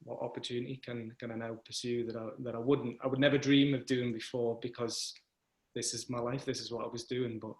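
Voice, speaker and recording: A man with a medium-pitched voice hosts a relaxed conversation. He thinks aloud, often restarting phrases and ending points with "right?". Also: fairly quick. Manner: thoughtful, frequent restarts, tags with "right?". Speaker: host, event organizer. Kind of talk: casual conversation.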